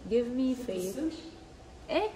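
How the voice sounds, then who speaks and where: A woman's voice: a little high, expressive, slow, background noise. A young woman, a native Arabic speaker, translating a written text aloud and searching for English words. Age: young adult. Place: large church interior. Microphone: camera held at arm's length. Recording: crowd murmur inside a church.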